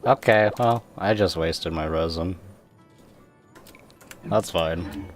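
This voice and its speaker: Low-voiced man chatting on a gaming stream. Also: slow, faint music behind. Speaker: livestreamer, gamer. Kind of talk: casual livestream gaming chat. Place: indoors at a computer.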